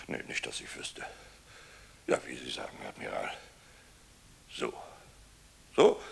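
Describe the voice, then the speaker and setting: Radio play sea captain: self-important, bewildered rambler, sharp forceful tone, swooping widely in pitch. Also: male voice, husky, heavily acted. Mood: confused, reminiscing. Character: crusty, bewildered 18th-century British sea captain, talkative, pompous. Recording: long reverberant hall sound.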